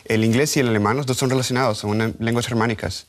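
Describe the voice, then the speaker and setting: Guest scientist, male, 30s, Honduran with American tinge, English-native, studio lapel mic, halting. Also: medium pitch, steady tone. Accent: Honduran Spanish with American English. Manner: halting, restarts words, gestures while talking. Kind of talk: studio interview.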